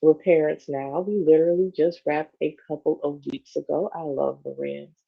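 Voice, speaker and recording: A woman's voice: low voice, steady tone, normal speed, gravelly. A woman co-hosting a show, reading a magazine interview aloud and slipping into informal asides. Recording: dull, lo-fi sound.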